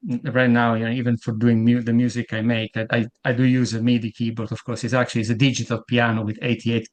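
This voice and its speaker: A man's voice: medium pitch, little pitch movement, quick pace. A man from Italy with a thick Italian accent, a guest in a casual interview, often stumbling and repeating words as he starts his sentences. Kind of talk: casual video-call interview.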